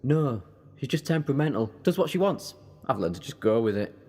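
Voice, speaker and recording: A man playing an eccentric, quick and cheeky alien time traveller in a fan sci-fi audio drama, with a chatty, flippant manner. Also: medium pitch, sing-song, a little mellow, slightly rough, soft background music.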